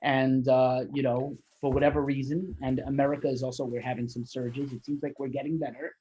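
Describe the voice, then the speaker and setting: Man, interviewer, husky, mid pitch, rambling fan enthusiasm, video call, dull lo-fi audio. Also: little pitch movement, fairly quick, warm tone. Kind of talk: casual interview chat.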